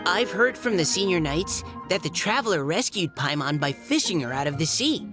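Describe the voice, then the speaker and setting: A woman's voice: fairly low pitch, sing-song, normal speed, music in the background. A woman voicing a shy, modest young boy knight in a video game, hesitant and stammering.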